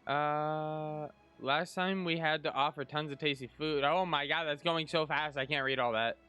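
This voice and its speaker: A man's voice: fairly high pitch, fairly even intonation, normal speed, sharp tone, clear, resonant tone, soft background music. A man giving casual let's-play commentary as a streamer, informal and chatty. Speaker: streamer, gamer.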